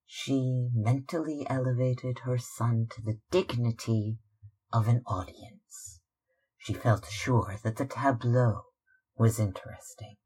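A man reading literary fiction aloud as narrator, in a measured, dramatic way. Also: medium pitch, steady tone, darkish tone, breathy edge, low-quality recording. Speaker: audiobook reader.

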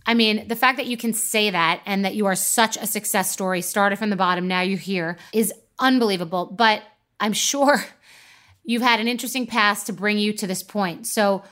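Woman, middle-aged, podcast host, crisp bright tone, eager and chatty. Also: mid-range voice, moderate pace. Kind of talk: casual interview.

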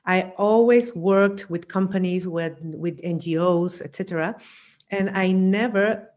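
A woman's voice: lowish voice, a little slow, a little mellow. Chatty woman from Chile, forties. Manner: chatty, laughs often, many restarts. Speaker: guest psychologist and coach. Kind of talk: conversational interview.

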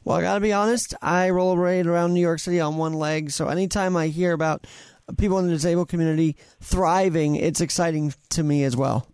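A man's voice: a little high, steady tone, a little fast, a little mellow, clean tone, dry recording. A man hosting a radio show, interviewing a guest in a conversational way.

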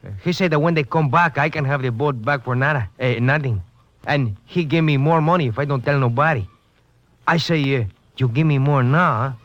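A man's voice: on the high side, a little fast, fairly bright tone, no room sound, close to an everyday voice. Dull, band-limited vintage radio sound carries a male actor as a nervous, evasive Mexican villager. His accent is Mexican, his English broken and stammering. He plays it as 1950s radio drama, shifty and poor. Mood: anxious confessing. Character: evasive, nervous Mexican man living in a poor river hut.

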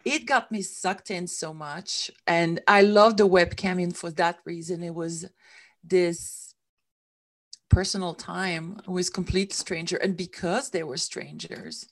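A woman's voice: fairly low pitch, steady tone, slow pace. A middle-aged woman from Switzerland, a guest telling her story in a candid interview, speaking English as a with small grammar slips. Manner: reflective, with some non-native grammar slips.

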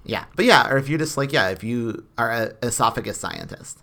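A man's voice: medium pitch, lively intonation, a little fast, vocal fry, pushed and bright. A man co-hosting a podcast in casual banter, with a dry, wry sense of humor. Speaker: podcast co-host.

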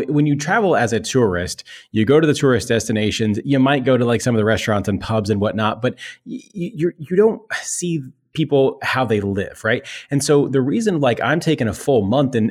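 Casual male podcast host. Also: mid-range voice. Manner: casual, conversational with fillers. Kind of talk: sales podcast interview.

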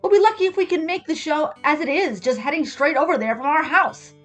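A woman voicing a condescending, scolding grandmother in a story, brisk and unhelpful. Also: high voice, faint music behind, voice pushed up, lightly acted.

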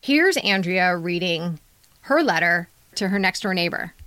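A woman's voice: on the low side, animated, a little slow. A woman podcast host and editor giving a conversational introduction to a show.

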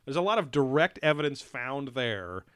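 A man's voice: medium pitch, very animated, moderate pace, a bit bright, clean tone, dry recording, studio quality. A man chatting casually as a podcast host, rambling and swearing freely, with lots of fillers and restarts. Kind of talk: casual chat reviewing a TV show.

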